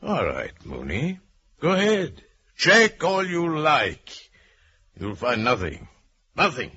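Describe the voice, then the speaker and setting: An actor playing a staid, pompous older executive and secret thief in a radio thriller. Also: male voice, fairly high pitch, huge pitch swings, takes it slowly, sharp tone, slightly rough, heavily acted. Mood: indignant, defiant. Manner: pompous, condescending, imperious. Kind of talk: old radio thriller acting. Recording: dull radio recording with music.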